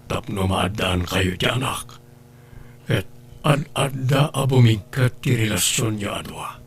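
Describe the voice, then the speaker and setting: A man playing an earnest lover and confidant in an Ilocano radio drama, with a husky voice. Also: on the low side, unhurried, slight background noise. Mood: earnest, persuasive.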